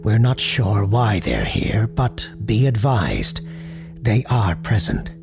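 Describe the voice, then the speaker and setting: A man's voice: fairly low pitch, very animated, slow, gravelly, over music, heavily acted. A man playing a courteous, grave parapsychologist who hosts a late-night paranormal radio show, speaking formally and with ominous understatement in an audio drama.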